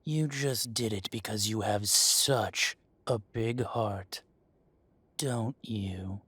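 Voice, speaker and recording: Slow, low, menacing mafia boss, played by a man. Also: fairly bright tone, slightly rough, no echo, full character voice. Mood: mocking. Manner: mock-polite, taunting, deliberate menace. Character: menacing possessive male dragon shifter mafia boss. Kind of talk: scripted roleplay audio drama, addressing the listener.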